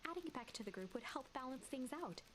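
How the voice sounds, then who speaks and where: A woman narrating a story game in a calm, measured scripted read. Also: high-pitched, a little hiss or hum.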